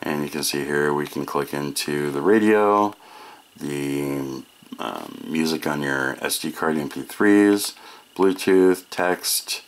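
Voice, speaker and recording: A very low-pitched American man walks through a product demo in a casual, matter-of-fact voice. His pace is slow and unhurried as he explains each feature. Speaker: product reviewer.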